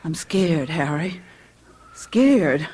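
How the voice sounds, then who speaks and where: A woman's voice: deep voice, animated, drawn-out words, heavily acted. An actress playing a frightened wife and mother in a radio drama, pleading and fearful. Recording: vehicle noise behind the voice.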